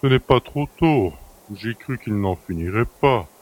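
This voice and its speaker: A man's voice: medium pitch, lively intonation, measured pace. A man playing a cheeky, familiar manservant in an audio drama, chatty and mocking. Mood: self-indulgent. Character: cheeky, familiar manservant who helps himself to master's luxuries. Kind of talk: French audio drama acting.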